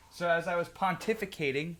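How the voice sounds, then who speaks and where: A man chatting on a livestream, loud-voiced and animated, reacting to chat and rambling through opinionated stories. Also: sits high, normal speed, poor audio. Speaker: streamer. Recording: music playing clearly behind voice. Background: music.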